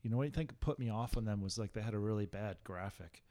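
A man co-hosting a casual podcast, talking in a halting, self-deprecating way. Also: lowish voice, mellow. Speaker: podcast co-host.

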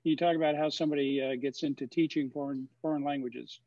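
Man, host, halting with fillers, dry, dull lo-fi audio. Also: fairly high pitch, steady tone, dark tone, no room sound. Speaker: host of a webinar Q&A. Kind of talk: casual moderated Q&A.

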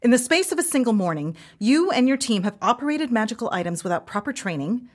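A woman's voice: on the high side, very animated, fast talker. Stern, officious female government minister. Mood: indignant. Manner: clipped, formal, disapproving. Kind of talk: audio comedy drama acting.

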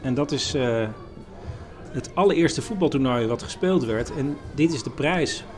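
A man's voice: mid-range voice, animated, normal speed. A man hosting a podcast, casually reporting on the spot. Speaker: podcast host. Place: mostly outdoors at a small football ground. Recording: outdoor football crowd, music behind.

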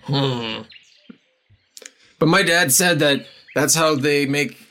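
A man's voice: fairly high pitch, takes it slowly, pushed and bright, heavily acted. A man voicing a dim-witted teenage half-orc boy who rambles hesitantly and fills his talk with fillers.

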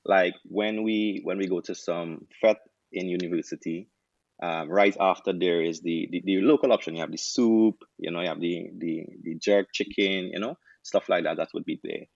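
A young man with a Trinidadian accent, a doctor turned chef, telling his story in a relaxed interview with many restarts. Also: low-pitched, normal speed, vocal fry, no echo, dull audio. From Trinidad and Tobago. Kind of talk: casual interview answers.

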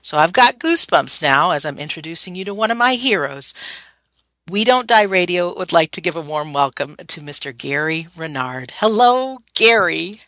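Female host with a cold, bright and eager, dry close sound. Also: fairly low pitch, expressive, moderate pace, dry recording. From Massachusetts, USA. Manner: bright, eager, laughs easily. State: has a cold. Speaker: radio show host. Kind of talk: enthusiastic interview hosting.

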